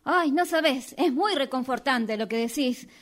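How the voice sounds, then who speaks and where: A woman's voice: sits high, measured pace. A woman acting in radio theatre as a frantic, pleading young woman with a Rioplatense Argentine accent, insistent and repeating herself. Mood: agitated. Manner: insistent, repetitive, urging. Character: frantic, pleading young woman dragging her friend into trouble. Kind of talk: radio theatre workshop fiction.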